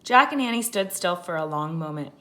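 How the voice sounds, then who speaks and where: A woman's voice: on the low side, animated, brisk, pushed and bright. Woman reading a children's storybook. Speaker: teacher reading aloud.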